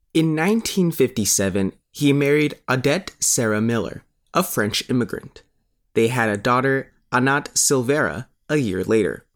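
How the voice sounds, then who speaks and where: A man narrating a scripted news article as a reporter, with a measured, formal delivery. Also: medium pitch. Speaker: newspaper reporter reading his article.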